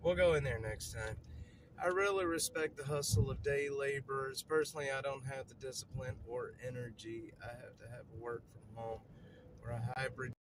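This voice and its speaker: A man's voice: medium pitch, steady tone, moderate pace, a little hiss or hum, low-quality recording. A man with a stutter, chatting casually on a livestream from a city street while moving around, rambling and repeating himself.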